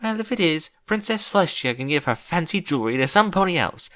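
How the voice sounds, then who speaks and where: A man's voice: on the high side, animated, fairly quick, fairly bright tone, pitched above the performer's own voice. A man voicing a dramatic, prissy female unicorn fashionista, theatrical and haughty, in a cartoon-style story reading. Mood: indignant.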